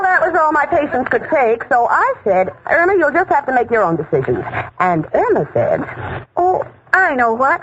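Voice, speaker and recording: Jane, a wry sensible narrator. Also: female voice, high voice, highs cut off. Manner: wry, dry comic narration. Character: level-headed, wry young woman. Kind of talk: 1940s radio comedy acting. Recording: scratchy old radio broadcast with heavy hiss.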